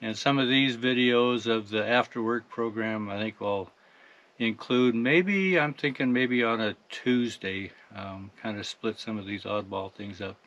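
A man in his sixties with an American accent gives a casual, unhurried, folksy update to camera from inside a truck cab. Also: fairly low pitch, a bit bright, highs cut off. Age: 60s. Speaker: farm truck driver and video host.

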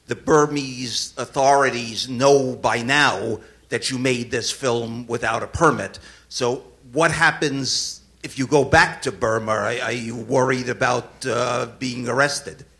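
A man's voice: mid-range voice, unhurried, fairly bright tone. A man in the audience asks the director a question. He speaks hesitantly, in a mid-range voice, and keeps stopping to restart his sentences. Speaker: audience member. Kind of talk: asking a question.

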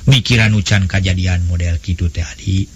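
Man acting patient wise nobleman, elder brother, Sundanese accent, low voice, soothing advice, folk tale. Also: fairly even intonation, a little fast, slight background noise, lightly acted. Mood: calm, advising. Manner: soothing, advising.

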